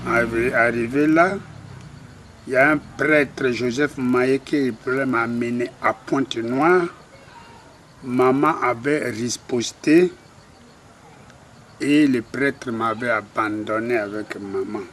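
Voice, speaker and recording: An older man with a Congolese French accent recounting his life in an interview, halting and modest. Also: medium pitch, fairly bright tone. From Republic of the Congo. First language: a Congolese language. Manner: slow, halting, self-deprecating. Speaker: interviewee, retired cabinetmaker. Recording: faint background noise.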